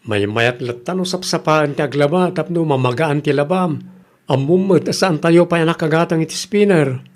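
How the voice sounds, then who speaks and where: Actor as nagging Ilocano husband, higher-pitched voice. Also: male voice, moderate pace, natural voice. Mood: nagging, questioning. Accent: Ilocano Filipino. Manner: questioning, admonishing. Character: nagging, cautious husband in a rural household. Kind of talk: radio comedy drama acting.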